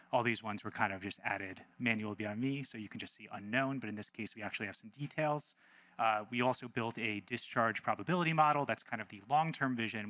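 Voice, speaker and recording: Bright, forceful-voiced man presenting a demo. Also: mid-range voice. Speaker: hackathon team member presenting a demo. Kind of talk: live product demo presentation, casual.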